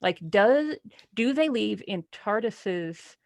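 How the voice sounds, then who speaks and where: A woman's voice: medium pitch, expressive, slow pace, creaky, crowd noise. A woman in a casual book club discussion, speaking analytically and posing a lot of questions as a panelist.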